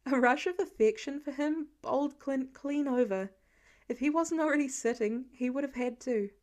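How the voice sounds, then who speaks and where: High-voiced woman narrating fiction. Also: normal speed, darkish tone. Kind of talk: expressive scripted story narration.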